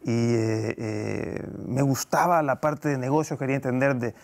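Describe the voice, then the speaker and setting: A man, giving a conversational interview in a halting way, repeating phrases, on a lapel mic in a studio. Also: mid-range voice, creaky. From El Salvador. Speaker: guest, actor and film producer. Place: TV studio set.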